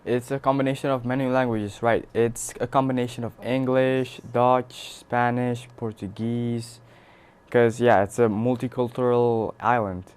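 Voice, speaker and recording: Young male guest from Aruba, smooth resonant voice, mid pitch, casual explanatory delivery. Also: level tone, unhurried, a little mellow. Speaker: interview guest.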